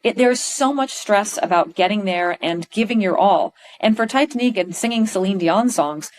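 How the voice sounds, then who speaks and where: A middle-aged woman from Pennsylvania, a stage performer chatting as a podcast guest, gushing and animated, with lots of restarts. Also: medium pitch, moderate pace, poor audio. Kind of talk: casual interview chat.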